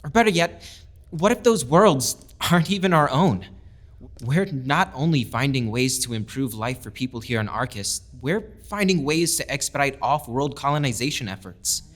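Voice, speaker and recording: Audio drama lead, male actor: idealistic young lieutenant, oratorical and passionate, a proud outsider, medium-pitched. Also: lively intonation, moderate pace, a bit bright, natural voice. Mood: hopeful, urgent. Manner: impassioned, oratorical. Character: earnest young male military lieutenant, proud outsider pleading his cause. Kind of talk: full-cast audio drama speech.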